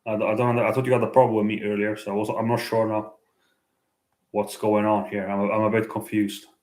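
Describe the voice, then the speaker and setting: Low, flat-voiced man, halting talk. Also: reverberant. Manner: hesitant, halting, many restarts and fillers. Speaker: livestreamer. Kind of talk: late-night street livestream chat. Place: out at night in a city.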